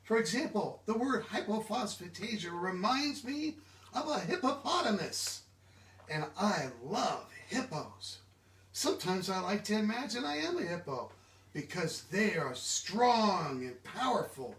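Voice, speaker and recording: A man giving an animated, expressive children's storybook read-aloud, engaging his listeners directly. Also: high-pitched, measured pace. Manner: expressive, interactive, asks listeners questions. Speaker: children's book reader. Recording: echoey room, distant mic.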